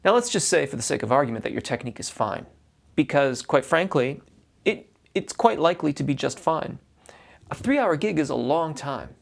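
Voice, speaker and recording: A man's voice: fairly high pitch, sing-song, moderate pace, vocal fry. A man who teaches voice, reading a viewer's question aloud and then giving practical advice, often restarting his sentences. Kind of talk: instructional talk to camera.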